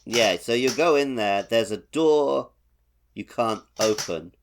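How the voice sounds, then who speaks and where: A man's voice: medium pitch, lively intonation, takes it slowly, slight background noise. A man co-hosting a video game podcast, talking things over in casual chat. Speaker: podcast co-host.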